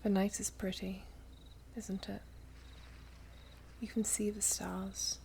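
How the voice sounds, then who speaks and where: A woman playing an ageless, gently teasing, wistful elven maiden in an ASMR roleplay, speaking softly and half-whispered with archaic, unhurried phrasing. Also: medium pitch, little pitch movement, a little hiss or hum. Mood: wistful, hushed. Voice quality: soft, breathy, half-whispered. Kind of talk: ASMR roleplay, slow intimate storytelling.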